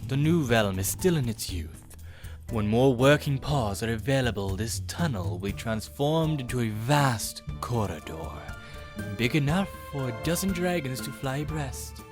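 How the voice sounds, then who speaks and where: A man voicing a stern, pompous guard of a dragon realm in an animated fantasy series, formal and commanding. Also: mid-range voice, measured pace, music in the background, lightly acted. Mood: indignant, stern.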